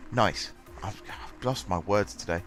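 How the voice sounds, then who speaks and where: A man's voice: on the low side, unhurried, fairly bright tone. A man with a cold and a stuffy, bunged-up voice, rambling through casual commentary on his game with lots of ums and restarts. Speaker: gaming channel host. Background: faint background music.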